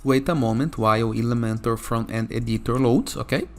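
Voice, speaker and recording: Lower-voiced male tutorial host over music. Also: brisk. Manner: instructional, often checking with "okay?". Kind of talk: software tutorial narration.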